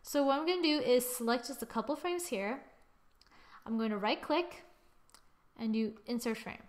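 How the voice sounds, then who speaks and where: A young adult woman giving a casual software tutorial, with a playful, exclaiming manner and little sing-song asides. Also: on the high side, moderate pace. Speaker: tutorial presenter. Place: screen-recorded voice-over.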